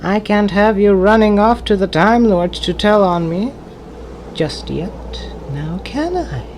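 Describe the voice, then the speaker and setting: A woman's voice: mid-range voice, lively intonation, measured pace, a little mellow, slight background noise. A woman playing a gloating renegade Time Lord villain in an audio drama, taunting and mock-polite. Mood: smug taunting.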